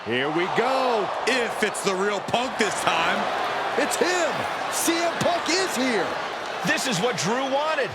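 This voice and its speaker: An actor playing an excitable male wrestling commentator calling the action in a video game. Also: extremely high pitch, slow pace, heavily acted. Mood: anticipating. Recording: loud roaring arena crowd noise.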